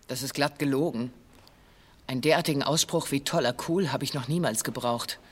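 Ein Schauspieler spielt in einem Hörspiel einen steifen, sich verteidigenden Verdächtigen, der förmlich und gestelzt im Verhör aussagt. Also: male voice, mid-range voice, fairly quick, slight background noise, natural voice. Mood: defensive denial. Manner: formal, stilted wording. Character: male criminal suspect under interrogation, stiff, defensive. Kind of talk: radio play, recited statement of interrogation record.

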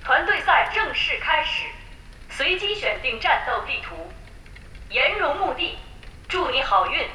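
A woman's voice: high voice, very animated, a little slow, pushed and bright, slight background noise, heavily acted. An actress voicing a formal female online game announcer in a radio drama, with an echoing effect. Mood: announcing. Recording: echoey, distant, music behind.

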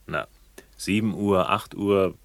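A world-weary male investigator for radio drama. His voice sits fairly low and his delivery is sardonic and philosophical, with a flirtatious, teasing edge. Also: slow. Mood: curious, charming. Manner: sardonic, literary, dryly teasing. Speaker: lead actor and first-person narrator. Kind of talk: German radio play acting and narration.

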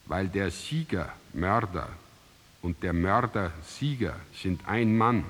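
A man playing a sober narrator of an ancient Roman tale, measured and declarative, in a radio drama. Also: deep voice, fairly bright tone, slightly rough, heavily acted.

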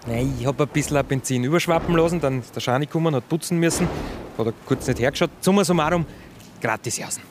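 Actor as a fast-talking Austrian sidekick. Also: male voice, fairly high pitch, background noise, lightly acted. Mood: chatty, smug. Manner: chatty, colloquial. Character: chatty, pleased-with-himself male kidnapper's sidekick. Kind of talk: contemporary radio play acting.

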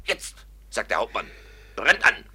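An actor playing a fiery robber recounting mayhem vividly and declamatorily in a classic radio drama. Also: male voice, mid-range voice, wildly swooping pitch, unhurried, pushed and bright, husky, full character voice. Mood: fierce relish.

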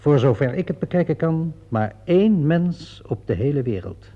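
Een acteur speelt in een hoorspel een sluwe, vasthoudende inspecteur die nadrukkelijk en schijnbaar beleefd ondervraagt. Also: male voice, a little high, animated. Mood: methodical, pressing. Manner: insinuating, theatrically deliberate, mock-polite questioning. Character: shrewd, relentless male police inspector cornering a suspect. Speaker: actor playing a police inspector. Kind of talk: radio play acting. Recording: dull, muffled vintage radio play recording.

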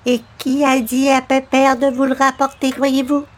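A woman's voice: high-pitched, completely flat delivery, moderate pace, lightly acted. A woman playing a pious, prim and insistent neighbour in a radio comedy, speaking formally. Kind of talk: old-time radio comedy acting.

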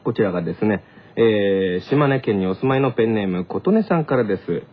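A man's voice: fairly low pitch, animated, a little fast. A man hosting a light comedic radio show, reading listener mail in an amused, mock-formal way. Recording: slightly dull radio recording.

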